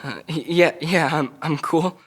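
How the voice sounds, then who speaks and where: A man's voice: a little high, a little slow, fairly bright tone. A man playing a guarded, defiant young suspect under questioning at a drama table read, curt and slangy. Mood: uneasy.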